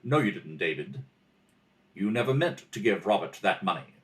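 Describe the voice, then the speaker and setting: A man playing a shrewd, composed detective in a radio mystery, measured, pointed and wry. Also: low voice, big pitch swings, fairly bright tone, pitched below the performer's own voice. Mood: probing, accusing. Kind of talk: old-time radio mystery acting.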